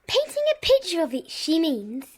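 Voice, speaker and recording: Very high-voiced, eager little girl. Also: huge pitch swings, normal speed, heavily acted. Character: giggly, eager little girl, the sidekick friend. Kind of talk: children's audio drama acting.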